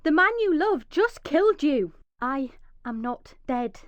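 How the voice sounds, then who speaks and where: An actress playing a frightened, injured young mother in a radio drama, emotional and swinging from hushed dread to pleading. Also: high voice, big pitch swings, unhurried, dry recording, dull audio. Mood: bitter, anguished. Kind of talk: radio drama monologue with acted dialogue.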